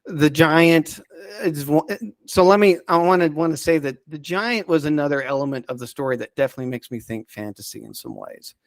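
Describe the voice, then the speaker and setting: A man giving recommendations as a podcast guest in casual unscripted chat, enthusiastic but halting and rambling as he searches for words. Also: a little high, sing-song, no room sound. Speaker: podcast guest reviewing audio dramas.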